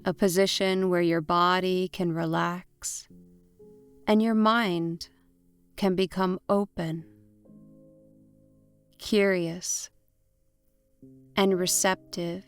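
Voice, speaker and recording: A woman leads a guided relaxation at an extremely unhurried pace. Her delivery is gentle and deliberate, leaving long pauses between short phrases. Soft instrumental music plays behind her voice. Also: fairly low pitch, steady tone, clear, resonant tone. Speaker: meditation guide. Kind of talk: guided imagery meditation narration.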